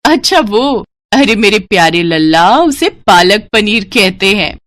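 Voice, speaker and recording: A woman voicing an affectionate young village mother in a cartoon story, cooing and expressive. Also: mid-range voice, slow pace, no room sound. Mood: doting.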